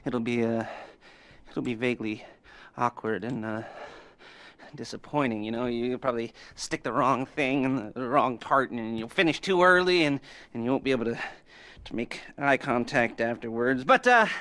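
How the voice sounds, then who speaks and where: An actor playing a sly middle-aged heist planner in a video game, rambling, giggling and teasing with creepy innuendo. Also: male voice, medium pitch, pushed and bright, lightly acted. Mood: leering. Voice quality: giggling, rambling with many pauses. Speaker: heist mission giver. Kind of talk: video game cutscene dialogue.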